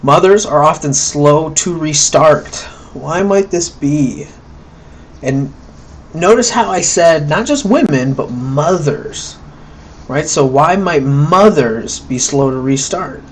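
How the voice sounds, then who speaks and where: A man's voice: a little high, expressive, takes it slowly, slight background noise. A man giving a casual, conversational recorded lecture, often checking in with "right?" and acting out quoted thoughts. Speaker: college instructor.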